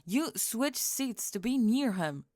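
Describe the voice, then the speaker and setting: A woman playing a cool, blunt teenage bully girl with a wry, deadpan, teasing manner in an ASMR audio roleplay. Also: fairly high pitch, takes it slowly, dry recording, studio quality.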